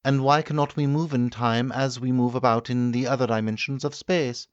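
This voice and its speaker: A man's voice: mid-range voice, steady tone, normal speed, clean tone, dry recording, muffled recording, pitched above the performer's own voice, natural voice. A man voicing a sceptical, contrary Victorian medical man with an insistent, questioning manner, as in an audiobook. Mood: puzzled challenge. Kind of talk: audiobook character acting.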